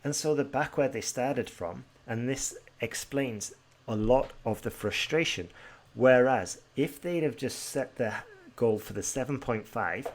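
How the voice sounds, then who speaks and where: A British man from Britain teaching an exam-prep podcast tutorial in a brisk, direct way, with some fillers and restarts. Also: mid-range voice, slight background noise. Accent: British English.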